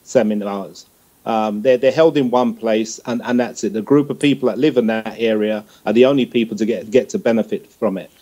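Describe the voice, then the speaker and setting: A man's voice: lowish voice, brisk, warm tone. A man explaining plans as a guest in a panel discussion. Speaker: music organisation panel guest.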